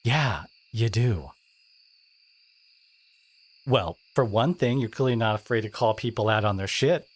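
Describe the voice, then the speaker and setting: A man playing a teenage school bully who is secretly wounded and caring, speaking in a halting, confiding, sardonic way in an intimate audio roleplay. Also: on the low side, husky. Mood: earnest, reassuring.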